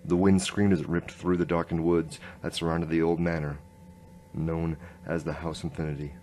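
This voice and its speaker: A man acts out a weary, wry detective narrating a noir radio play. His voice is very low and deadpan, over faint background noise. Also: moderate pace, lightly acted. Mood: brooding. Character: world-weary, wry, deadpan male noir police inspector.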